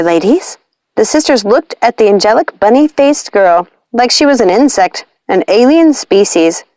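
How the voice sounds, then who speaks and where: Animated woman reading a storybook. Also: mid-range voice, dry recording. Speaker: audiobook narrator. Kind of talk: scripted storybook narration.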